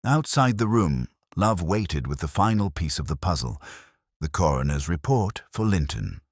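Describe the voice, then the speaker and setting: A man narrating a scripted detective mystery in a grave, deliberate, measured literary style that builds suspense. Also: deep voice, big pitch swings, breathy edge, no echo, clean studio sound.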